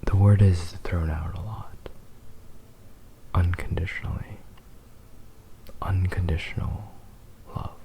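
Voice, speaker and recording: A man playing a possessive, devoted young fox spirit husband in a whispered romantic ASMR roleplay, soft, tender and hesitant. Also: low-pitched, steady tone, takes it slowly, pauses often, slight background noise. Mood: tender, adoring.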